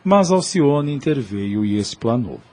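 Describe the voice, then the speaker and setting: A man narrates an audio drama in a solemn, literary way. His mid-pitched voice has a husky grain and follows a script. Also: very animated, a little slow, darkish tone.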